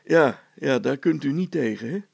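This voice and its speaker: A man acts out a middle-aged handyman father from a storybook, practical and careful. The voice is heavily creaky and rough, recorded very dry, with hearty everyday talk. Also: mid-range voice, lively intonation, normal speed, no echo, exaggerated voice. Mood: hearty, matter-of-fact. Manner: colloquial, hearty, plain-spoken.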